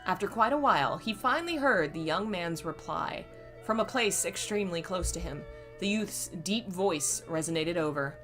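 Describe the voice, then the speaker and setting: A hoarse woman reading a novel aloud on her channel, chatty and fond when she talks to viewers. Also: on the low side, lively intonation, normal speed, a bit bright, faint music behind. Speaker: reader and channel host.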